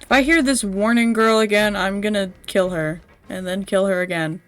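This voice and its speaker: A woman's voice: mid-range voice, steady tone, normal speed. A young woman livestreaming a video game with casual, rambling commentary, blunt and slangy with some swearing. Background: game music.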